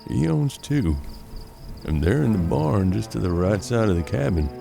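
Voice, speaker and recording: An actor playing a seasoned, gruff frontier detective in a western audio drama, measured and world-weary, with a gravelly voice. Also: male voice, on the low side, expressive, music in the background. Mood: grave, watchful.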